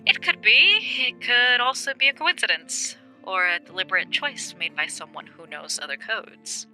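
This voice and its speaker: A woman playing a sharp, helpful investigative journalist in an audio drama, conversational and matter-of-fact. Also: medium pitch, very animated, moderate pace, faint music behind, full character voice, low-quality recording.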